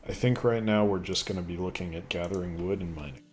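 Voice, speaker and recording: A man rambling casually while commentating on a gameplay video, with a voice he says sounds deeper since an illness. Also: fast talker, faint music behind. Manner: rambling, chatty, thinks aloud. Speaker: gaming video host. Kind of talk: casual let's play commentary.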